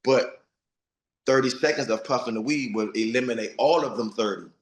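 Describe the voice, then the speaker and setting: A man's voice: medium pitch, moderate pace, echoey room. A man of forty, a retired boxer, giving blunt, streetwise interview answers and repeating himself for emphasis. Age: 40s.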